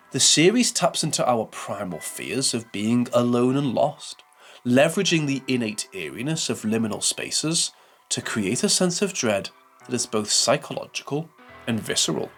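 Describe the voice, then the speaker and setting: A man narrates a scripted video essay in a measured, formal manner. His mid-pitched voice moves up and down in a lively way, over faint music.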